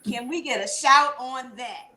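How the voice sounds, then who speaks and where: A woman's voice: high voice, a little slow, a crowd in the background. An exuberant woman hosting and interviewing on a live show, often repeating phrases for emphasis. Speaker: host of a live web show. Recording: roomy echo.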